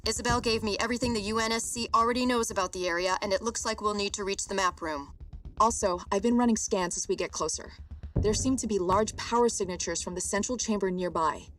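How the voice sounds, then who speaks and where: Female professor actress, sci-fi game cutscene: brisk, explanatory, serious, music in the background. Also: mid-range voice, a bit bright, natural voice. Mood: focused, concerned. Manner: businesslike, explanatory. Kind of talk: video game cutscene acting. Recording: distant-sounding, with music behind.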